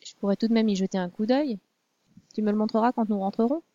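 A woman's voice: mid-range voice, fast talker, mellow, no echo, muffled recording, lightly acted. A woman voicing a young princess who speaks in a formal, proper way. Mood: earnest. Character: young princess, weary yet curious and proper.

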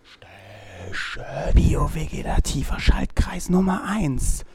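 A woman acts out a strange inner voice in an experimental radio play. It is low and extremely slow, swinging in pitch as it drops clipped fragments word by word. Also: very animated, warm tone, husky, no echo, heavily acted. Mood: eerie, fearful.

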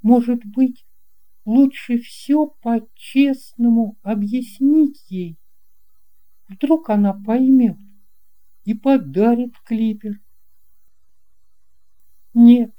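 A woman reading a children's story expressively, with deliberate phrasing and dramatic pauses. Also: fairly high pitch, dull audio. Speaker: audiobook reader.

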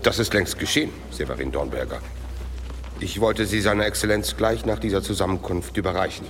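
An actor playing a cynical, dour monk in a radio drama, talking in a dry, grim mutter. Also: male voice, sits low, brisk, fairly bright tone. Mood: grim contempt.

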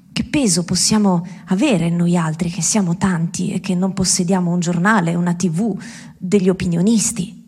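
A woman with a husky edge to her medium-pitched voice performs a satirical political monologue. She is impassioned and sardonic, building on rhetorical questions and repeated phrases, with the odd swear word. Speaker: speaker at a benefit event for Gaza. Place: live public event, speaking to an audience.

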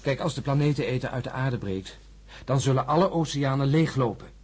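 A man's voice: medium pitch, expressive, a little fast, a little mellow, husky. An actor playing a jaded, stubborn male astronaut in a science fiction radio drama, speaking insistently and grimly certain of doom.

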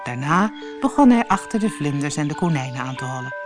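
Woman, children's audiobook narrator, low pitch, animated intonation, clearly phrased, music. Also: a little fast. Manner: expressive, clearly phrased storytelling. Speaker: storyteller reading a children's audiobook. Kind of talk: scripted fairy-tale narration for children.

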